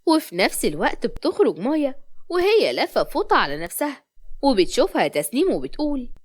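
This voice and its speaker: A woman's voice: sits high, sing-song, quick pace, breathy edge. A woman with an Egyptian accent narrating a scripted dramatic story as a storyteller.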